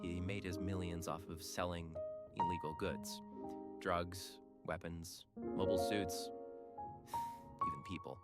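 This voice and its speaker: Brooding veteran pilot, deep and weary, acted by a man, loud score under. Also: little pitch movement, slow pace, loud music behind the voice. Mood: somber. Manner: quiet, weary, sighing.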